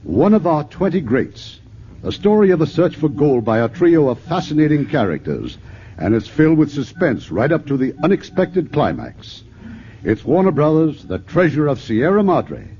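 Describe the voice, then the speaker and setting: A man hosting a 1950s radio theater show with a smooth, announcer-like delivery. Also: a little high, big pitch swings, normal speed, warm tone, a little hiss or hum. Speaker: radio host. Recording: dull old radio broadcast.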